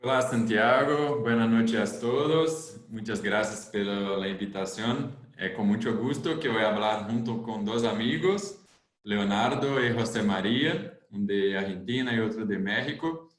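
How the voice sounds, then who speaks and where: A man's voice: lowish voice, unhurried, reverberant. A man from Brazil speaking Spanish with a Brazilian Portuguese accent, explaining livestock topics in a webinar presentation. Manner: plain explanatory delivery with frequent "entonces".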